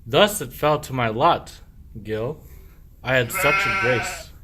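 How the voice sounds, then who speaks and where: A man's voice: mid-range voice, lively intonation, slow, noisy background. A man playing a sly, boastful, self-pitying sheep thief in a medieval verse radio play, with declamatory rhyming speech.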